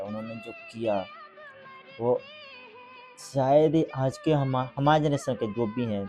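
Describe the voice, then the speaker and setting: A man from Bihar gives casual, informal vlog commentary, reading English phrases aloud haltingly. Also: mid-range voice, dark tone. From Bihar, India. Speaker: vlogger.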